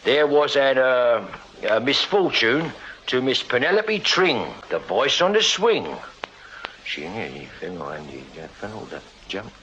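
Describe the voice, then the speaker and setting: An actor playing a plain-spoken, deferential Victorian constable and loyal sidekick in a radio drama, colloquial and blunt. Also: male voice, medium pitch, sing-song, unhurried. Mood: puzzled, reporting. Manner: colloquial, blunt, hesitant with his superior. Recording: dull, noisy radio drama copy.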